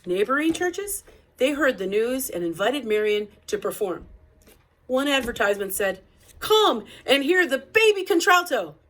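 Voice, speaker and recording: A woman with a high voice narrates a storybook at an unhurried, slow pace. Her phrasing is deliberate and expressive, as in a read-aloud for a picture book.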